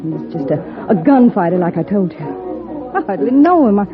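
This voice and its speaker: Actress as a scornful, animated young frontier woman. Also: mid-range voice, moderate pace, music in the background, crowd noise, natural voice. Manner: defensive, sharp-tongued. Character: proud, bitter pretty young frontier woman, scornful of men. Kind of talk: 1950s radio Western acting. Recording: tinny, band-limited 1950s radio recording.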